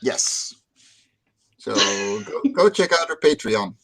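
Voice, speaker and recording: A man's voice: a little high, very animated, slow, husky. A Dutch man hosting a casual livestream chat, upbeat and joking, often repeating words as he starts a sentence. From Netherlands. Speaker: stream host.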